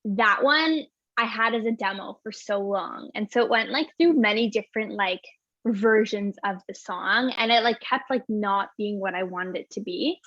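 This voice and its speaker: A woman's voice: medium pitch, normal speed, fairly bright tone, no room sound. A singer-songwriter in her twenties chatting casually in an interview, bubbly, laughing often and saying "like" all the time. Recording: dull, dry, lo-fi sound.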